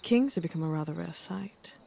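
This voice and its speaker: Creaky, regal, weary queen played by a woman. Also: on the low side, lively intonation, dark tone, a little hiss or hum. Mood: fond, dry. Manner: stately, measured, wry. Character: weary, dryly witty aging queen, regal and fond. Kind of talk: intimate fantasy audio drama acting.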